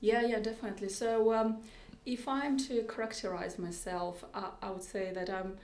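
A woman's voice: mid-range voice, fairly even intonation, moderate pace, darkish tone, reverberant. A woman with a Russian accent, a tech executive guest on a podcast, speaking thoughtfully with many restarts and repeated words. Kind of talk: podcast interview.

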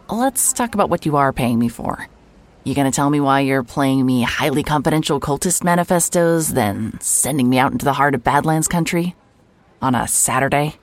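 An actress playing a hard-hearted private investigator in a noir audio drama, dry, sardonic and unimpressed. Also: female voice, low-pitched.

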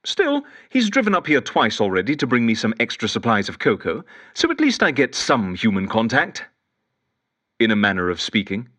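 A man's voice: mid-range voice, wildly swooping pitch, normal speed, pushed and bright, heavily acted. A lonely oddball detective rambling into his audio journal, fussy and wistful, voice goes up and down a lot, radio play style. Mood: dryly chatty. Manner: fussy, whimsical, wistful, digressive. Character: rambling, lonely, eccentric male detective keeping an audio diary. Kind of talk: audio drama monologue as spoken journal.

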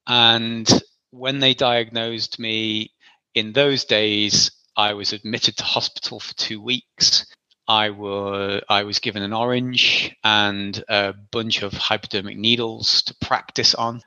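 A man's voice: fairly low pitch, level tone, slow pace, sharp tone, clean tone, dry recording, muffled recording. A man in his forties from the Isle of Man, answering interview questions casually in a rambling, self-deprecating way. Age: 40s. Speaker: guest.

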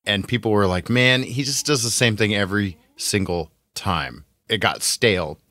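Man, podcast co-host, bright edgy tone, casual talk, very dry sound. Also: fairly low pitch, moderate pace, no room sound. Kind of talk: casual pop-culture chat.

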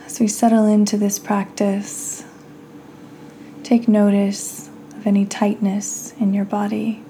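A woman hosting a guided meditation, speaking soothingly in short phrases with long pauses and breathy endings. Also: mid-range voice, monotone, warm tone, a little hiss or hum. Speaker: podcast host and meditation guide.

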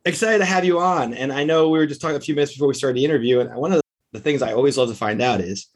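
A man's voice: mid-range voice, rapid-fire, husky. A man hosting a radio talk show, introducing a guest in an upbeat, enthusiastic way. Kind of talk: interview hosting, reading an introduction.

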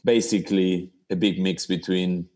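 A man from Argentina, a chef, telling stories in a relaxed podcast interview, with slightly non-native phrasing. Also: sits low, moderate pace. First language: Spanish. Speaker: chef, guest. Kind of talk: casual interview.

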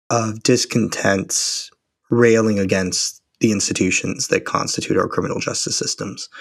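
A man guesting on a political podcast, his voice gravelly with a husky edge. He argues steadily, stacking up points and leaning on rhetorical questions. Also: lowish voice. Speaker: guest, author. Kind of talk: long-form political interview.

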